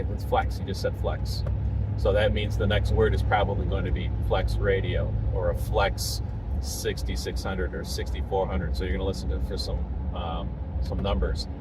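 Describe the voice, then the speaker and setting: Low-pitched middle-aged male vlogger, halting and informal, explaining things over clear road noise. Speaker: amateur radio vlogger. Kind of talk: casual rambling vlog talk. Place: inside a truck driving in traffic. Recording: distant mic, clear vehicle and road noise. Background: traffic and vehicle noise.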